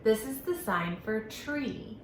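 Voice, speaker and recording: A woman hosting a children's storytime lesson, speaking in an animated, sing-song, instructional way and enunciating carefully for young children. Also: on the high side, slow. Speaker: children's library storytime host. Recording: roomy echo, faint background noise.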